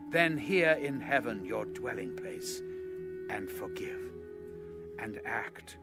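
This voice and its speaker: A man reads scripture as an audio narrator, in a mid-pitched voice with clear music playing behind him. His delivery is measured and solemn, following a formal script.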